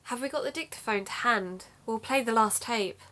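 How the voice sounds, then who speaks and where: An actress playing a serious podcast host, giving scripted narration in an audio drama. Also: female voice, on the high side, normal speed, fairly bright tone, close to an everyday voice. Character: female podcast host narrating a tense investigation.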